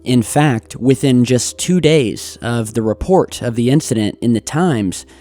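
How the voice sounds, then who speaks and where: A man hosting a video, giving earnest, deliberate scripted commentary. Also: medium pitch, dark tone. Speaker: YouTube host. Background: music.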